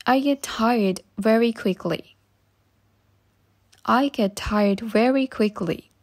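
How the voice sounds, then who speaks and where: A woman reading short English practice phrases slowly and saying each one twice for learners. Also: fairly high pitch. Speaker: English teacher.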